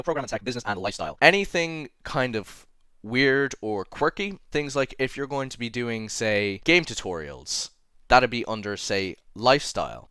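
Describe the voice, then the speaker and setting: A young man with an English-Irish accent hosting a casual screen-recorded tutorial, chatty and quick to correct himself with small apologetic asides. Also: mid-range voice, a bit bright, clear, resonant tone, no room sound, studio quality. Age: young adult. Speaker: tutorial host.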